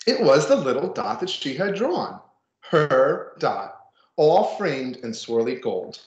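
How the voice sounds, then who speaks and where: A man reads a picture book to children with casual asides. His pitch is lively and expressive, with dramatic emphasis. The home recording sounds somewhat dull and echoey. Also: slow pace. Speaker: storybook reader.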